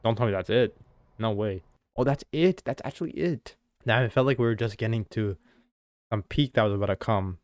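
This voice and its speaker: A man chatting casually as he reacts to game music, excitable and thinking aloud as he ranks tracks. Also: fairly low pitch, a little fast, no room sound, clean studio sound. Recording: music playing in background.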